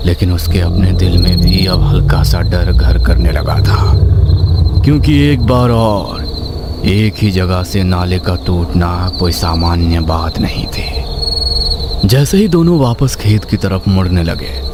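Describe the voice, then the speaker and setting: Slow, low-voiced male horror narrator. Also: heavy background noise. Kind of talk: scripted suspense narration. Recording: loud night ambience with crickets.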